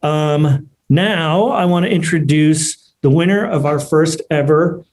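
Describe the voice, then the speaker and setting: A man with a slightly high voice hosts an online ceremony. He speaks off the cuff, with a halting, hesitant flow as he makes introductions. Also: darkish tone. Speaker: host of an online award ceremony. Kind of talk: unscripted event hosting. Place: remote video call.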